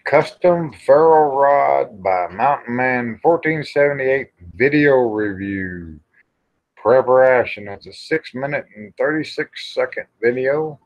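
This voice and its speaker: A man's voice: medium pitch, lively intonation, unhurried. A middle-aged man, hosting a casual livestream chat in a folksy, rambling, salty-tongued way. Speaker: livestream host. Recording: dull lo-fi livestream audio.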